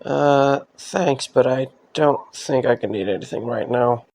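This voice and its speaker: A man's voice: medium pitch, measured pace, a little mellow. An actor playing a sheepish, friendly young man in an audio drama, talking in a casual, hesitant way. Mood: queasy, groaning. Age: young adult.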